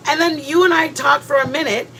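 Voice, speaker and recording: A woman's voice: high voice, steady tone, brisk, creaky, pushed and bright, a little hiss or hum. A woman hosting a livestream from home, sounding congested and rambling chattily with many restarts. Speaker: livestream host. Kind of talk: casual livestream chat. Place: at home, near the kitchen.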